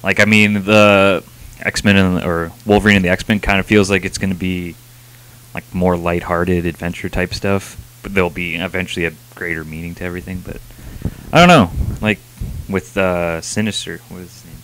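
A man's voice: low-pitched, little pitch movement, moderate pace, a bit bright, a little hiss or hum, rough recording. A young man co-hosting a casual comic review chat into a handheld mic, informal and joking. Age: young adult. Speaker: review show co-host. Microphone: handheld microphone.

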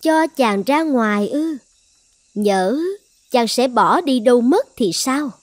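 A woman's voice: on the high side, expressive, slow pace, no room sound. A woman voicing a possessive young island sorceress in a cartoon fairy tale, coaxing and threatening with commanding self-assurance. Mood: wary, indulgent. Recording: music behind the voice.

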